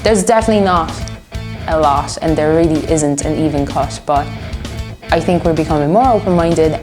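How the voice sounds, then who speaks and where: A woman of twenty-one, talking casually and earnestly in an interview, giving her opinions. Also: sits low. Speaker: music student and band musician being interviewed.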